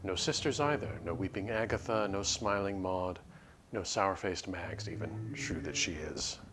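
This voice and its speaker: A man livestreaming a game, chatting casually and reading story text aloud in a measured, literary way. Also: deep voice, noisy background. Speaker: game streamer. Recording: background music under the voice. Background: music.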